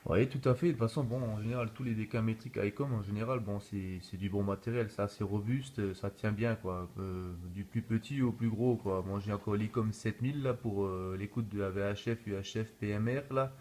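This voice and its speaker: A man's voice: fairly low pitch, fairly even intonation, fairly quick, hardly any pauses, a little mellow. A man chats as an amateur radio operator. He is easygoing, ending thoughts with small conversational tags. The phone recording carries faint background noise. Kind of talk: casual radio contact chat. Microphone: phone filming in video mode. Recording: noisy room recording on a phone.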